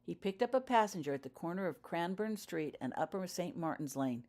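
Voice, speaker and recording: A woman's voice: on the low side, animated, a little fast, darkish tone. A woman voicing a hesitant, whispery informant giving clipped, formal reports by phone in an audiobook story. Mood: businesslike.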